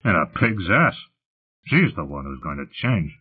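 A man's voice: deep voice, big pitch swings, measured pace, slightly rough, full character voice. A man voicing a sullen, crude young hothead who talks in a curt, surly way. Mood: grudging, stubborn. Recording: muffled phone-like narrow sound.

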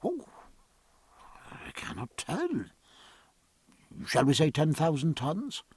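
A man voicing a learned ship's surgeon, drily earnest, with a measured, formal and pedantic manner. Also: on the high side, wildly swooping pitch, darkish tone, husky, no echo, heavily acted. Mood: vague.

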